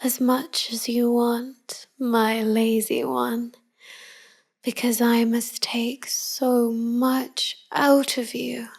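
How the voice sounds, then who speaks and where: Slow, whispery woman playing a tender vampire wife. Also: fairly high pitch, flat delivery, exaggerated voice. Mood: fond tenderness. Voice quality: soft, breathy, half-whispered. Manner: slow, gentle, murmured endearments. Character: tender, melancholy immortal vampire wife, devoted and wistful. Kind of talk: intimate pillow-talk ASMR roleplay.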